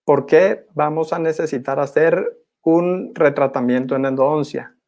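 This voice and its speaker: A young man gives a formal academic presentation in a measured, didactic manner as a postgraduate student. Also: a little high, a little mellow. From Guatemala. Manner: measured, didactic, clearly enumerating.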